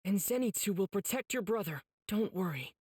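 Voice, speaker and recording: A man's voice: high-pitched, lively intonation, a little fast, a little mellow, reverberant. An actor playing an earnest, protective teenage demon slayer boy in an anime game, speaking with resolve and reassurance. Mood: gentle resolve. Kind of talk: dubbed anime game acting.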